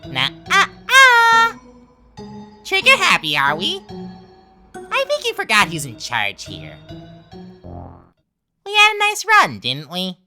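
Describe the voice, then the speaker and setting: Scheming flower villain, game dub: very sharp, sarcastic, hugely animated fake best friend. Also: female voice, very high-pitched, slow pace, pauses often, faint music behind, exaggerated voice. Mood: bitter, gloating. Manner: taunting, sarcastic, theatrical. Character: manipulative, two-faced talking flower posing as best friend. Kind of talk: dramatic game fan dub.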